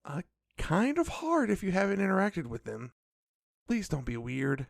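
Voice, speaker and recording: Actor as fussy magical companion, gravelly fry, swooping intonation, wary, nervous, admonishing, audio drama. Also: mid-range voice, wildly swooping pitch, normal speed, dry recording, clean studio sound, exaggerated voice. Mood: cautious, instructive.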